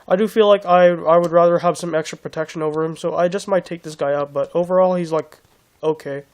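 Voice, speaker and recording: A young man with a slightly raised pitch talks through a card game deck in a loose, casual ramble. His voice is hoarse from being sick, and he often stops and restarts his sentences. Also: steady tone, quick pace, a little mellow. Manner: rambling, many restarts and fillers. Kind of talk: casual deck profile walkthrough.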